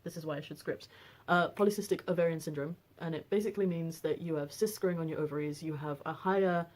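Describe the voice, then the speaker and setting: A woman in her twenties with a deep voice, talking casually on a personal vlog with lots of fillers and restarts. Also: steady tone, fast, a little mellow. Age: 20s. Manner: rambling, frequent fillers and restarts. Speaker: vlogger.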